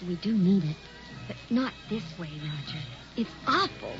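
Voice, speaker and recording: An actress playing a frightened young newlywed wife in an old-time radio drama, anxious and pleading. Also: female voice, mid-range voice, expressive, normal speed, natural voice. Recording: dull old radio recording with music.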